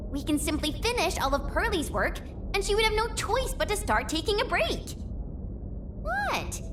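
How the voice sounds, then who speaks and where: Game heroine: eager young swordswoman, chatty and bubbly, very lively intonation, music underneath. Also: a little high. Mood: mischievous. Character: cheerful, eager young swordswoman, warm and playful. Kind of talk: video game character voice lines.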